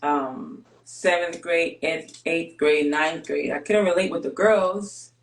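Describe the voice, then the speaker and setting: A woman's voice: on the low side, roomy sound. A woman born and raised in Greece, whose first language is Greek, tells her life story candidly and steadily as an interview guest. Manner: candid, steady, measured with pauses. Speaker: guest, basketball player.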